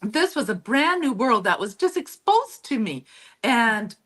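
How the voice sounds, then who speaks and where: A woman whose first language is Low German, giving reflective, conversational answers as an interview guest. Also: sing-song, a little slow, a bit bright. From Mexico, then Texas from childhood.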